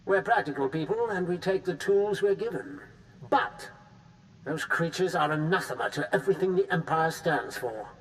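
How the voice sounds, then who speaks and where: An actor playing a disdainful, pragmatic Imperial official with a haughty, clipped manner in scripted game dialogue. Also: male voice, on the high side, lively intonation, moderate pace, fairly bright tone. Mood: disgusted. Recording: distant, noisy game capture.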